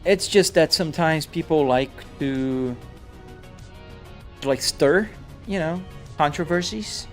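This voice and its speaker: A man's voice: fairly high pitch, slow, pauses often, soft background music. A young man from Brazil with a Brazilian accent mixed with American, chatting casually and answering viewer comments while he plays a driving game. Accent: Brazilian Portuguese mixed with American. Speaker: live streamer. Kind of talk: casual live-stream chat while gaming.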